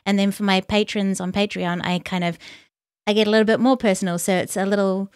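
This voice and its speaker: A woman hosting a casual interview, speaking in a calm, relaxing voice. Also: medium pitch, brisk, smooth, no echo, clean studio sound. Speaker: interview host.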